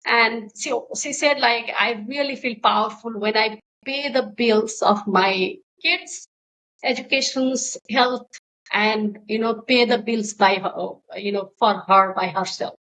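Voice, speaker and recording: Slow hesitant woman with a Nepali accent. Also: a little high, pushed and bright, a little hiss or hum, low-quality recording. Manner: hesitant, many fillers, searching for words. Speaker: guest, social entrepreneur. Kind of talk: interview answers.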